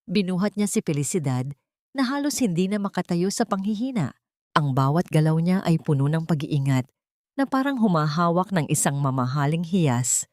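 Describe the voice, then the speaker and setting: A woman's voice: on the low side, big pitch swings, normal speed, darkish tone, dry recording, clean studio sound. A woman reading a dramatic scripted story as an audiobook narrator.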